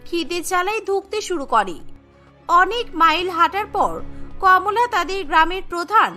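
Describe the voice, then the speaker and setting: A woman's voice: very high voice, lively intonation, moderate pace, bright, forceful tone. A woman reading scripted narration for a cartoon story, with music behind her.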